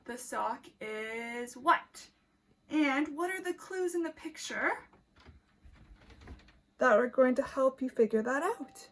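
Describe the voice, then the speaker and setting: A woman teacher reading a picture book aloud to children in an animated, deliberate way, pausing to ask questions. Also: high-pitched.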